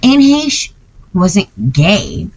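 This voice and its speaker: A woman's voice: medium pitch, huge pitch swings, very slow, a little mellow, highs cut off. A woman hosting a casual chat, talking emphatically and insistently, often repeating her points.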